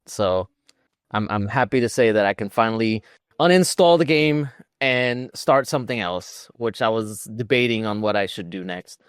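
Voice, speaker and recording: A man's voice: fairly low pitch, normal speed, smooth, no room sound, studio quality. A man co-hosting a podcast, chatting informally about video games in a self-deprecating way. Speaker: podcast co-host.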